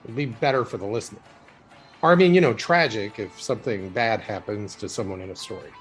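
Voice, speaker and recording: A man's voice: on the low side, a little fast, faint music behind, lightly acted. An actor playing an inquisitive, obsessive male writer with a wry, pedantic manner in naturalistic audio drama dialogue. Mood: pedantic teasing.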